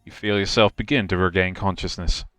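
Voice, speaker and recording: Low-voiced man narrating. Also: steady tone, a little fast, a bit bright, soft background music. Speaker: streamer reading game narration. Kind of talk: game narration read aloud on livestream.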